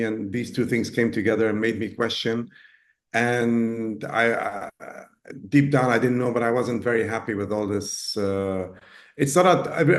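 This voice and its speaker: A man from Ghana in his fifties, talking as an interview guest, pausing often with fillers and restarts. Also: medium pitch. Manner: hesitant, many fillers and restarts. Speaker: guest, business owner. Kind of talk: casual interview talk.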